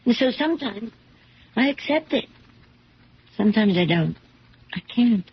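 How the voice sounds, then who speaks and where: An actress playing an earnest, self-doubting woman accused of theft, halting and pleading, in a 1970s radio drama. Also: on the high side, expressive, husky, heavily acted. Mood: confused, resigned. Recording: dull, noisy old radio recording.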